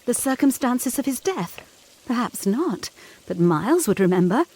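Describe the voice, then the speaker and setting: Casting: an intense young governess for gothic audio drama, high and expressive, earnest and probing. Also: female voice, moderate pace, a little hiss or hum. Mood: insistent, suspicious. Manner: intense, questioning, formal period diction. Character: earnest, anxious, insistent young Victorian governess. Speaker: actor in an audio play.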